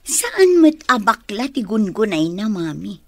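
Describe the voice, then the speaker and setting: Coy, drawling young Ilocano secretary. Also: female voice, medium pitch, very animated, measured pace, a bit bright, dry recording, exaggerated voice. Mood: coy, excited. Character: young office secretary, dutiful daughter, coy. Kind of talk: Ilocano radio drama acting.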